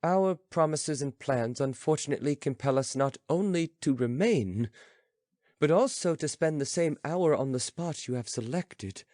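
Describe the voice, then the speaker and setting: A man's voice: on the high side, lively intonation, normal speed, a little mellow, dry recording, clean studio sound, voice pushed up, close to an everyday voice. A man voicing a philosopher's male companion, formal and stiffly courteous, in an audiobook story. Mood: stiffly polite.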